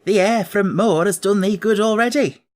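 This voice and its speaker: Man voicing a blunt young Yorkshire housemaid, pitched up. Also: moderate pace, close to an everyday voice. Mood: frank, fond. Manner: blunt, homely dialect speech. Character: kind young Yorkshire housemaid, plain-spoken and warm.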